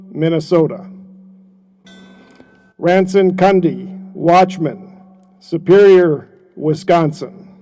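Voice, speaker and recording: A man solemnly reading a roll of names at a memorial ceremony, slow and measured. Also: sits high, dark tone.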